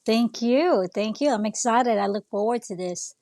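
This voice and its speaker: A woman's voice: a little high, normal speed, a little mellow, no echo, studio quality. A woman in her fifties with a Filipino accent, talking casually as an interview guest and often searching for words. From Philippines. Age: 50s. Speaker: podcast guest, nonprofit founder.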